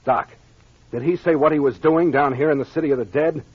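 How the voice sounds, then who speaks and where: An actor playing a brusque, take-charge American police captain with a husky voice in an old-time radio mystery. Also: male voice, fairly high pitch. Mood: indignant. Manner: brisk, commanding, slangy. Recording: muffled old radio recording.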